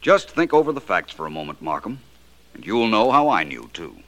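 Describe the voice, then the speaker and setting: An actor playing a confident American detective in 1940s radio style, speaking deliberately with self-assured, dramatic emphasis. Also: male voice, on the low side, big pitch swings, a bit bright. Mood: assured, explaining. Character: confident, sharp-witted male detective explaining a murder case.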